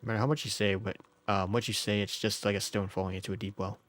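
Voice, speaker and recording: A man's voice: fairly low pitch, level tone, quick pace, fairly bright tone. A man who is under the weather, streaming a game with informal, jokey commentary and reading out story text. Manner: informal, jokey, often chuckling. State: sick.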